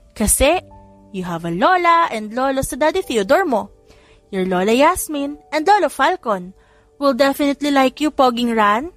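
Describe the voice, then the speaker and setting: A woman voicing a bubbly, excitable young aunt in an audiobook, gushing and exclaiming as she mixes English into Tagalog. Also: sits high. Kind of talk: audiobook character voice.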